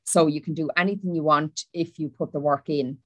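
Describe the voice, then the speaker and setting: Irish woman in her forties, low voice, measured panel talk, dry close sound. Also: fairly even intonation, no room sound. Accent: Irish, Wicklow. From Ireland. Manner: measured, speaks Irish then repeats in English. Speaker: panel speaker, former pupil. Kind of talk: prepared talk on a webinar.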